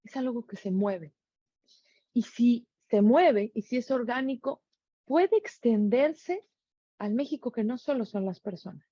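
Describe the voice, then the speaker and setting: A woman's voice: on the high side, lively intonation, unhurried, dark tone, husky, no echo, muffled recording. A middle-aged woman with a Mexican accent giving an earnest reflective monologue, full of rhetorical questions. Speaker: speaker sharing reflections.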